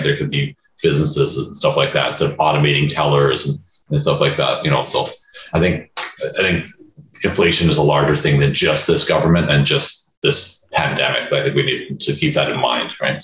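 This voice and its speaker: A man in a focus group sharing his views over a video call, halting and full of fillers and restarts. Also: a bass voice, level tone, fairly bright tone, roomy sound, slight background noise, rough recording. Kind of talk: casual opinion sharing.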